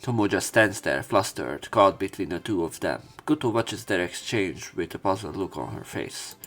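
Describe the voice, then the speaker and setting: A man doing let's play narration of a visual novel. His voice sits fairly low and he is a bit sick, reading in a halting, casual way over soft background music. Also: lively intonation. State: sick with a cough.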